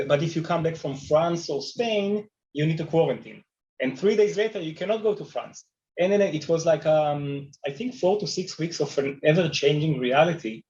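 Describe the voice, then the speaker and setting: A man from Israel with an Israeli accent, telling stories conversationally as an animated panel guest. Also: a little high, muffled recording. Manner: animated talker, moves a lot while speaking. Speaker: panel guest, travel technology company leader. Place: remote video call on camera.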